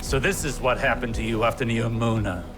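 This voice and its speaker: A man voicing a stern, sharp-tongued scholar in a video game. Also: medium pitch, steady tone, fairly quick, music in the background, close to an everyday voice. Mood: accusing.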